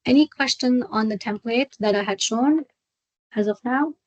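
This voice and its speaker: Woman software trainer, dry close sound. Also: a little high, no room sound, poor audio. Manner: halting, step-by-step explaining with check-in questions. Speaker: software trainer hosting a webinar. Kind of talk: live screen-share product walkthrough.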